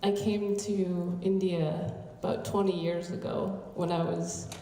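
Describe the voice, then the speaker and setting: A woman with an American accent, in her late thirties, tells a story unhurriedly, with soft restarts. Her voice sits low and mellow. It carries from a distance through a reverberant hall, with faint background noise. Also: flat delivery, a little slow. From United States. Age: late 30s. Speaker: invited conference speaker. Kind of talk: formal speech at a conference. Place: large conference hall.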